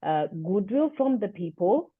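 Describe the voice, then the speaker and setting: A woman's voice: mid-range voice, big pitch swings, a little slow, breathy edge. A woman hosting an interview, speaking thoughtfully with hesitant restarts. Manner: thoughtful, hesitant, repeats words when starting. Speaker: podcast host. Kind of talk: conversational interview. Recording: tinny phone-like line.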